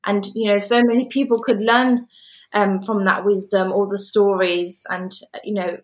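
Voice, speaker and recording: A woman hosts an interview podcast in a mid-pitched voice. She sounds enthusiastic and encouraging and leans heavily on fillers. Her voice carries in a roomy, echoey space. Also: level tone, moderate pace. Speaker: podcast host. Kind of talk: interview conversation.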